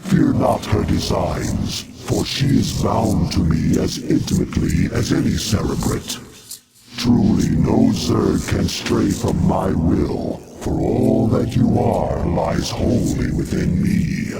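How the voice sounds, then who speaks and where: Video game overlord: ancient alien hive mind, slow, deep, commanding and formal. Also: male voice, lively intonation, loud music behind the voice, exaggerated voice. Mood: solemn, commanding. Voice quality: gravelly, heavy fry. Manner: solemn, grandiose, formal.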